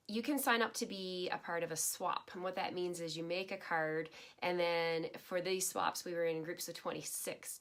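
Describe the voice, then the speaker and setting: A woman's voice: lowish voice, fairly bright tone. A middle-aged woman from Canada with a head cold and a slightly nasal voice, chatting with live viewers as a stamping demonstrator, greeting people and laughing often. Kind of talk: live craft video chat.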